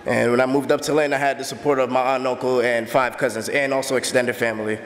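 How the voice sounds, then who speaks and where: A man in his early twenties from the Bahamas, earnestly telling his own story as a steady personal testimony. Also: mid-range voice, fast, in a large hall. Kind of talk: personal testimony, read aloud.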